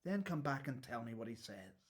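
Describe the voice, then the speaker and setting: An actor playing an imprisoned prophet in a radio play, weary, testy and full of doubt, with a brooding delivery. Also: male voice, medium pitch, very animated, normal speed, close to an everyday voice. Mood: anguished doubt.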